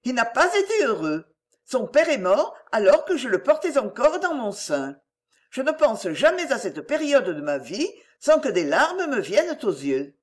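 A woman's voice: a little high, very animated, unhurried, pitched above the performer's own voice. A woman voicing a self-pitying, scheming middle-aged mother in an audiobook, plaintive, melodramatic and wheedling. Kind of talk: acted audiobook dialogue.

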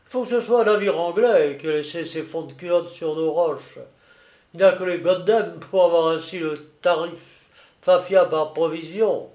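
A man's voice: fairly high pitch, normal speed, echoey room, lightly acted. A man acting a rowdy, tipsy lighthouse keeper. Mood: jovial, greedy. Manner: loud, coarse, exclamatory seaman's talk. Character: drunken, jovial, boastful old lighthouse keeper. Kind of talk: dramatised audiobook storytelling.

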